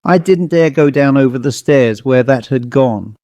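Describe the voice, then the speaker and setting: A man's voice: medium pitch, moderate pace, warm tone, no echo. A man narrating a first-person ghost story as a scripted audiobook reading.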